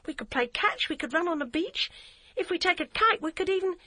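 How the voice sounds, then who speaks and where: A woman doing an eager little girl, high and quick. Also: lively intonation, fairly bright tone, no echo, clean studio sound. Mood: pleading. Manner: eager, breathless rush of words. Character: earnest anxious eight-year-old girl.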